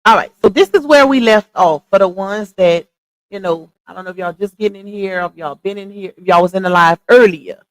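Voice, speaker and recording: A woman hosting a casual livestream, chatting with viewers, greeting them by name and calling them y'all, her voice starting to go hoarse. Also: medium pitch, a little fast, no room sound, poor audio. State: voice going hoarse. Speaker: livestream host.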